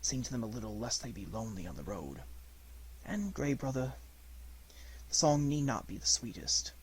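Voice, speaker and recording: A man reads as a bold wild boy of the jungle in a storybook. He speaks in terse, old-fashioned phrases, with a commanding manner and a mid-pitched voice. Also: very animated, normal speed, a little hiss or hum, natural voice, poor audio. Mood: quietly commanding.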